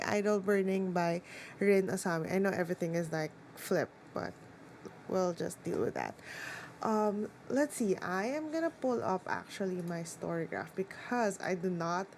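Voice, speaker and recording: A woman's voice: medium pitch, a little mellow, slight background noise. Chatty woman book vlogger. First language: Tagalog. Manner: chatty, rambling, lots of "like" and "um". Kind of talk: casual chat.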